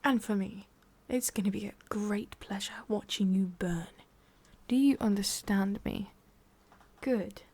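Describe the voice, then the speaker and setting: A woman with an English accent playing a menacing, mockingly tender female boss of demons in an ASMR roleplay, breathy and often whispering, with a taunting, condescending manner. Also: mid-range voice, a little mellow. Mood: sadistic, threatening. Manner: slow, taunting, condescending, with pauses and sighs.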